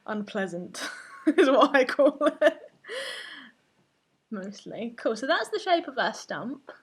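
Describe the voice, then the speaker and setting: A woman's voice: a little high, big pitch swings, slow pace, breathy edge. A woman hosting a live painting stream, chatting casually as she works, laughing often and sometimes losing her thread. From Finnish family. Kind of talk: casual chat while painting.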